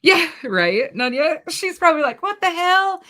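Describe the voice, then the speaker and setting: A woman's voice: extremely high pitch, normal speed. A middle-aged woman from North Dakota with a light North Dakota accent, hosting a casual livestream and talking bluntly with plenty of swearing. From North Dakota, USA. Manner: blunt, profane and animated. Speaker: livestream host. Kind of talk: casual livestream chat reading comments.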